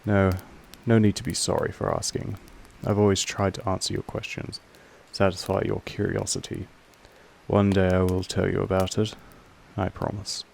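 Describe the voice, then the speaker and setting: Possessive male vampire master played by a man for roleplay audio: low, evenly pitched, measured, patronizing, aloof. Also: level tone, creaky, darkish tone, a little hiss or hum. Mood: reflective, guarded. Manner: measured, formal, condescending. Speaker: voice actor in a roleplay audio drama. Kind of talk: slow intimate monologue addressed to the listener.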